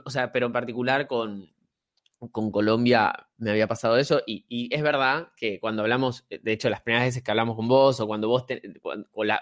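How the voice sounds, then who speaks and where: A man's voice: mid-range voice, fairly bright tone. A man with an Argentine Spanish accent hosting a podcast interview, talking casually with lots of fillers and repeated words. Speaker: podcast host. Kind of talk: conversational interview.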